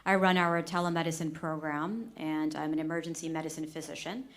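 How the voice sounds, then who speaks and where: A woman from the United States, an emergency physician, explaining things clearly on a conference panel. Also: low voice, steady tone, a little fast.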